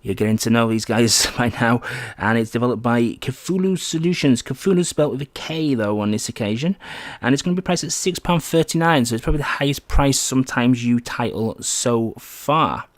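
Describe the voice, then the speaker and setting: A man's voice: medium pitch, animated, normal speed. A man hosting a casual video game review, talking in a conversational, loosely rambling way. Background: music.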